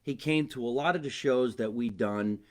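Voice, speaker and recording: A man with an average-pitched voice hosts a casual comedy podcast. He speaks conversationally and chummily, slipping jokes in between questions and recollections. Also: moderate pace. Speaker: podcast host, stand-up comedian. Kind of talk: casual chat interview.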